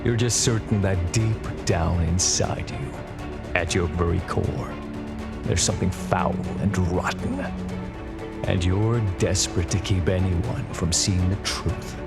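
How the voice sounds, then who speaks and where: An actor playing a stern, dignified admiral with a gravelly voice and formal, cutting delivery in a video game cutscene. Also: male voice, low voice, steady tone, takes it slowly, exaggerated voice. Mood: cold contempt. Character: stern, dignified male navy admiral, coldly disapproving. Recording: music under the voice.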